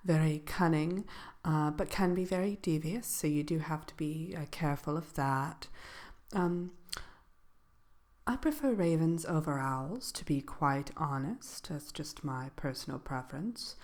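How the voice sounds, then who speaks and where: A woman playing a composed dark sorceress who gives a soft-spoken ASMR seminar in a hesitant, measured, genteel manner. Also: low-pitched. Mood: composed, matter-of-fact. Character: composed dark sorceress lecturing on magical animal companions. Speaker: seminar presenter.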